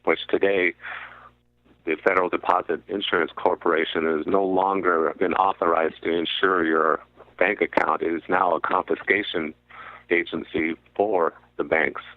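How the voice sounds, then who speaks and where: Deep voiced guy calling into radio, halting explainer, tinny muffled phone sound. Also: level tone, dry recording. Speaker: guest commentator on a radio show. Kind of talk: explanatory talk about finance. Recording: muffled narrow phone-like line.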